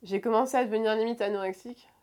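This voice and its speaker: A woman's voice: fairly high pitch, fairly even intonation, rapid-fire, a little mellow. A woman in her twenties telling a personal story in conversation, hesitant, with many fillers and restarts. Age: 20s. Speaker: guest.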